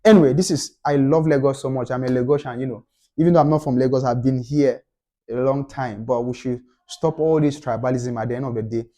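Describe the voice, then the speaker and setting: A man's voice: mid-range voice, fairly even intonation, a little fast, mellow. A young Nigerian man with a Nigerian accent, delivering a passionate opinion rant to camera and repeating his points for emphasis. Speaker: commentator.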